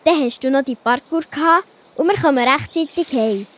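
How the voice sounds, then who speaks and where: A woman's voice: high-pitched, normal speed, a bit bright. A woman voicing a cheerful, sensible young girl with a Swiss German accent in a children's audio play. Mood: eager, encouraging.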